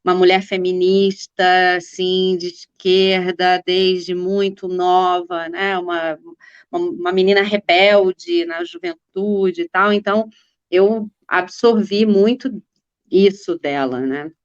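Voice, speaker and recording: A woman's voice: on the low side, takes it slowly, clean tone, no room sound, lo-fi sound. A woman in her forties, a political activist guest, telling her life story in a rambling, casual way with many fillers and restarts. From Brazil.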